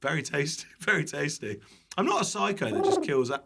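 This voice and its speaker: A man's voice: fairly high pitch, wildly swooping pitch, brisk, gravelly, sharp tone, a little hiss or hum. A British man talking casually as a podcast guest, chatty and jokey with informal, loose phrasing. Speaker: podcast guest, online cooking content creator.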